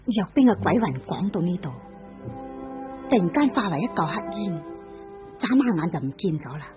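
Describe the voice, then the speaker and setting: A woman's voice: fairly low pitch, expressive, moderate pace. A woman with a Hong Kong Cantonese accent, narrating a vintage radio drama with vivid storytelling emphasis. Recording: lo-fi old radio recording with music.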